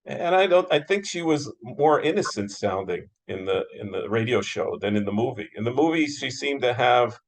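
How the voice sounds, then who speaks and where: A man's voice: mid-range voice, expressive, moderate pace, hardly any pauses. An American man moderating an interview in a casual, agreeable, conversational style, often echoing and affirming.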